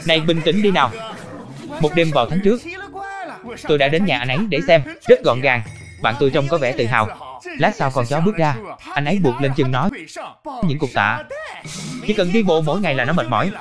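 A man voicing a chatty, excitable character in a dubbed cartoon. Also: on the high side, brisk, pauses often, lightly acted. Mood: amused storytelling. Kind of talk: dubbed cartoon dialogue and storytelling. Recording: music under the voice. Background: music.

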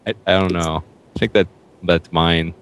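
A man's voice: low-pitched, steady tone, moderate pace. A man chatting casually during an amateur group script reading. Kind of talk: casual chat between read lines. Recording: noisy mic with clicks.

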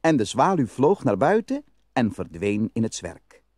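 A man's voice: medium pitch, sing-song, unhurried, a little mellow, no echo, studio quality. A man narrating a fairy tale as a scripted storyteller.

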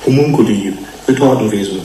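An actor playing a bitter, estranged male fish-human hybrid in a German radio drama, speaking deliberately and accusingly. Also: fairly low pitch, recorded from a distance, a crowd in the background, lightly acted. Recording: roomy echo, background noise.

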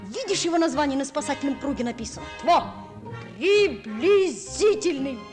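Звонкого бойкого мальчишку. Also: female voice, sits high, expressive, normal speed, a little mellow, faint music behind. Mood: reassuring, curious. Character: eager, cocky young schoolboy dreaming of the sea. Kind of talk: Soviet children's radio play acting.

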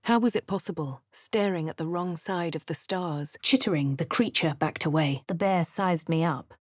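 An actress with a posh English accent voicing a composed young female adventurer in a game, crisp and clipped. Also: on the low side, normal speed, dry recording, clean studio sound, natural voice. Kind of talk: game voice lines and narration.

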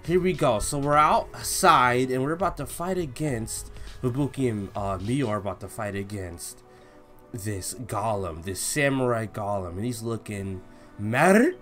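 A young man doing casual, slangy reaction commentary and read-along, expressive and given to drawn-out exclamations. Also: medium pitch, faint music behind. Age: young adult. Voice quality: slangy, informal. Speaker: YouTube reaction host. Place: small indoor room at home.

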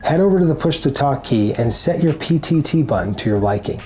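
A man's voice: mid-range voice, expressive, brisk, darkish tone, slight background noise. A man in his forties giving scripted, instructional narration to camera as a tutorial presenter. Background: background music.

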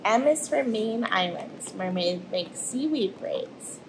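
A woman, reading a picture book aloud to children in an animated storytelling manner. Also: mid-range voice, slow pace, slight background noise, low-quality recording. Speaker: storybook reader and host. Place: indoors in front of an aquarium tank.

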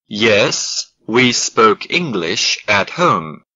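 A man reading slow model sentences for an English lesson, each word carefully spaced. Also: fairly low pitch, big pitch swings, pushed and bright, dull audio. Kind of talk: slow scripted language drill.

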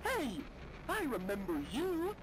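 A gentle, knowing elephant toy from a video game. The speech is slow, theatrical and swinging in pitch, with loud noise behind. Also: wildly swooping pitch, heavily acted. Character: gentle cartoon elephant toy with a perfect memory. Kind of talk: scripted video game character lines.

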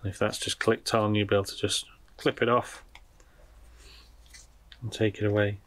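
A man's voice: fairly low pitch, flat delivery, normal speed, fairly bright tone, no room sound. A man giving casual unboxing commentary as a product reviewer, informally thinking aloud as he goes.

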